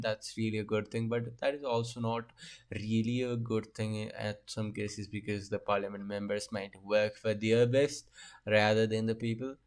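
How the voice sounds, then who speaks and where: Low gravelly man, halting reaction chat. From Asia. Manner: halting, with many fillers and restarts. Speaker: reaction video host. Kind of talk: casual reaction commentary.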